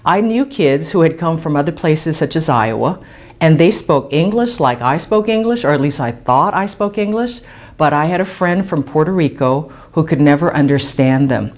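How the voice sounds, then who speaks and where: A woman, a teacher, reflecting on her own language learning in a measured way. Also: sits low, lively intonation, a little mellow. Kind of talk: reflective personal testimony.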